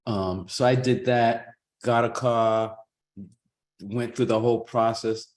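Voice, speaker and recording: A man's voice: mid-range voice, steady tone, takes it slowly. A middle-aged man from Haiti and Boston, casually telling a personal story as a webinar panelist. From born in Haiti, grew up in Boston. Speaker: urban cycling project leader.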